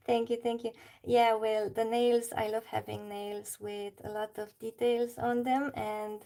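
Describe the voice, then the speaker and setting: A woman's voice: on the high side, level tone, takes it slowly, mellow. A woman from Romania with a Romanian accent, chatting casually on a livestream while she colours and answers her chat. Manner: chatty, reads chat aloud, laughs often.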